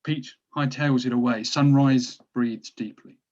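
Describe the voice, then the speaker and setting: A man's voice: mid-range voice, steady tone, unhurried, a little mellow. A man narrating stage directions from a drama script, recorded on dull, lo-fi audio.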